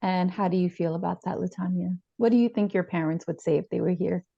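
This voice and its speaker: A calm therapist, played by a woman asks gentle, measured questions. Her voice is dark and gravelly, heard dry and a little dull. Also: fairly low pitch, no echo. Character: calm, probing female therapist. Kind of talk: improvised dramatized therapy session.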